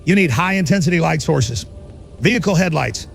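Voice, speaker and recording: A paranoid survivalist radio ranter, acted by a man in an audio drama. His voice sits high for a man and rasps with gravel. He is urgent, fervent and insistent, as if warning listeners from a bunker. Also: animated, brisk, faint music behind. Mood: urgent instructing. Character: paranoid male conspiracy broadcaster in a bunker. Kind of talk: audio drama monologue as pirate radio broadcast.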